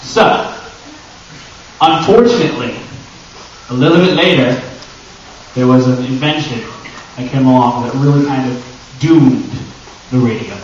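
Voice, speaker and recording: An American man giving an informal live panel talk to a convention audience, animated and conversational, with self-deprecating jokes. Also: mid-range voice, a little slow, long pauses. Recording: echoey, dull, noisy room from far off.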